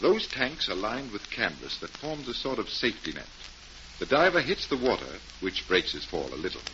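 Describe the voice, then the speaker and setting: A confident magician detective, low in pitch and lively in inflection, in the manner of 1940s radio drama. The old recording is dull and noisy. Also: male voice, moderate pace. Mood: explaining, assured. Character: confident male magician detective, calm and assured.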